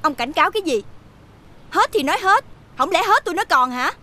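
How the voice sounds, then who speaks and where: A woman's voice: very high-pitched, lively intonation, fairly quick, full character voice. An actress playing a fed-up village wife, nagging and scolding her drunkard husband in a folk-tale film. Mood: exasperated. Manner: sharp, nagging complaint.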